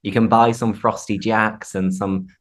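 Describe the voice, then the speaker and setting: A man's voice: lowish voice, little pitch movement, a little slow. Northern English man hosting a casual chat. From Yorkshire, England. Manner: informal, explanatory. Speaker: host, English teacher. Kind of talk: casual chat with explanations of slang.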